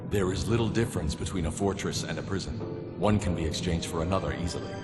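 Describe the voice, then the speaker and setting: A stoic masked hero from a video game, voiced by a man, with a low, deep voice and a terse, deadpan delivery. He sounds stern and grim, in long reverb over music. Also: fast, close to an everyday voice. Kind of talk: animated-style video game acting.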